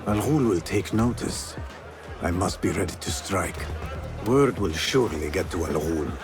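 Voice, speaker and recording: An actor playing a determined, grim young assassin in a dramatic video game. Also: male voice, deep voice, measured pace, music in the background. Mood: focused.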